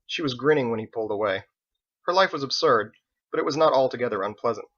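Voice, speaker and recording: A man narrates a fantasy audiobook. His voice sits at a middle pitch and he reads the prose expressively. The recording is slightly dull. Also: expressive, fast talker, fairly bright tone. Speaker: author reading own audiobook.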